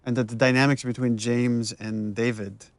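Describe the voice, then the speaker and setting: Mid-pitched man giving analytical commentary. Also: flat delivery, moderate pace, dry recording. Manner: analytical, conversational. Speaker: game writer and director giving commentary. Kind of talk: casual behind-the-scenes commentary.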